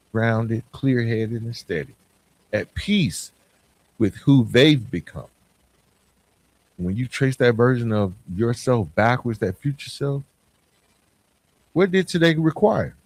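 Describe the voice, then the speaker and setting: A man's voice: mid-range voice, a little mellow, low-quality recording. A man hosting a motivational coaching podcast, speaking earnestly and emphatically, addressing listeners directly and repeating phrases to drive them home. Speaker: podcast host.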